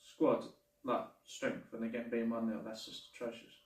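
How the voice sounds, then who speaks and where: A man's voice: mid-range voice, completely flat delivery, moderate pace, distant mic. A man co-hosting a casual podcast, trading dry, laid-back banter. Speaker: podcast co-host. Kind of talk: casual chat. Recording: echoey room, off-mic.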